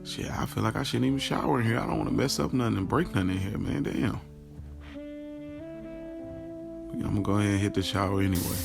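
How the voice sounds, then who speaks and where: Audio drama lead, played by a man: lovestruck, boastful, self-doubting guy. Very low, creaky voice, slangy first-person monologue, talks to listeners like friends. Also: rapid-fire, over music. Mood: amazed. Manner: casual, slangy, confiding, addresses listeners directly. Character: smitten, boastful, self-questioning man recounting his love life.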